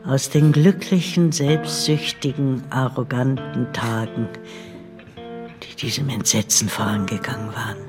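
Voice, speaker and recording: An older woman playing the bitter, devoted ghost of a family maid, narrating a radio drama in a brooding, deliberate way. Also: a bass voice, steady tone. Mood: scornful, bitter. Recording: studio radio play over loud music.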